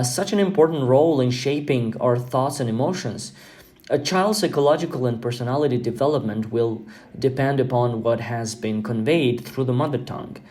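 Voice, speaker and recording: A man whose first language is Albanian, hosting a podcast in an earnest, reflective monologue, often pausing with fillers and repeating words. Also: mid-range voice, darkish tone. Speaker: podcast host.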